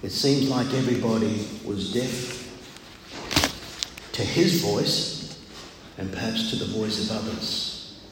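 An older man with a loud voice preaches a sermon in a measured, deliberate manner with frequent pauses. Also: lowish voice. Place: large echoey room, speaking to a congregation. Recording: very echoey hall, clear background noise.

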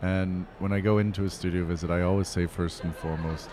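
A man from Pennsylvania, a curator, answering interview questions in a measured, earnest way. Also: deep voice, little pitch movement, a crowd in the background. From Pennsylvania, USA.

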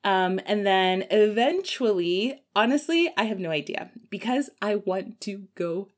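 A woman travel vlogger from Texas, likely in her thirties, answering viewer questions in a casual, chatty way and laughing often. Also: a little slow. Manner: chatty, laughs often, lively swings in pitch. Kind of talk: casual chat.

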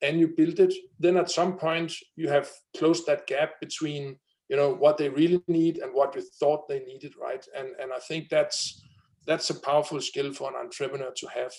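A man in his thirties with a Danish accent, a company founder telling his story in an interview, energetic and full of fillers and restarts. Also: fairly high pitch, normal speed, low-quality recording. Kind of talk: conversational interview.

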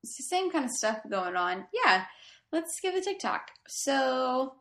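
A woman's voice: high-pitched, very animated, a little slow, fairly bright tone. A woman co-hosting a podcast, recapping in casual, rambling chat and laughing easily. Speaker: podcast co-host.

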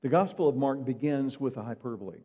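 A man's voice: mid-range voice, lively intonation, quick pace, mellow, husky. Preaching man in a muffled echoing hall. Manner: conversational preaching with rhetorical questions. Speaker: pastor. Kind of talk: sermon.

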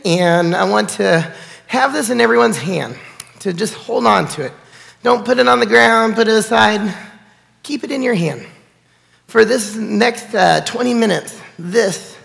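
Hoarse, gravelly nasal pastor. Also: male voice, high voice, a bit bright. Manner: chatty, conversational preaching with fillers. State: hoarse, recovering from illness. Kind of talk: sermon. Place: church sanctuary. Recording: church hall with long reverb.

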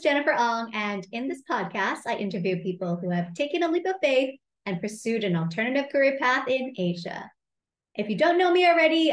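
A woman's voice: on the high side, echoey room. A woman hosting a podcast interview, speaking in an upbeat, enthusiastic way. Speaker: podcast host and career coach.

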